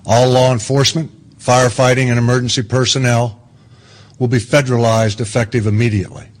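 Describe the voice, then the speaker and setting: A man plays a stern government secretary in a radio drama. The voice is mid-pitched and official, laying out orders in a firm, measured way. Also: fairly even intonation, a little hiss or hum, natural voice. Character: stern Defense Secretary issuing martial law orders. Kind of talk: radio drama press briefing acting.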